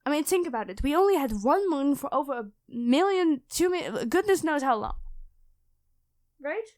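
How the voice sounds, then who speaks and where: A woman playing a clumsy, nerdy classmate who stammers and rambles apologetically in an ASMR roleplay. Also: high-pitched. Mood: excited theorizing. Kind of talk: ASMR audio roleplay addressed to the listener.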